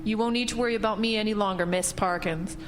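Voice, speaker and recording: A woman's voice: medium pitch, quick pace, natural voice. A woman playing an earnest, persistent real estate agent in an audio drama, speaking in a direct, insistent manner. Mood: hurt, bitter.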